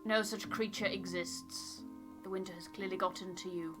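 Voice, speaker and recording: A woman voicing a reserved, sceptical, businesslike officer in a game, formal and measured. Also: medium pitch, fairly even intonation, over music, natural voice. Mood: stern, dismissive.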